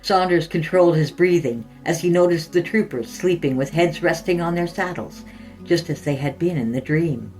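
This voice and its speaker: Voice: mid-range voice, normal speed, darkish tone, faint music behind, low-quality recording. A narrator and podcast host reading scripted fiction aloud in a storytelling style.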